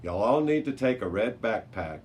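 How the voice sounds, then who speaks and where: A man's voice: lowish voice, animated, moderate pace, a little mellow, close to an everyday voice. Man reading as a helpful expedition crew woman. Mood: instructive. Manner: instructive. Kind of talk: children's storybook character voice. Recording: noisy amateur recording with background hiss.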